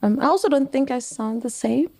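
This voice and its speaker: A woman's voice: sits high, a little fast, darkish tone, dry recording. A woman co-hosting a podcast, chatting in a friendly, upbeat way. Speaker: podcast co-host. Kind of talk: casual podcast chat. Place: small podcast studio.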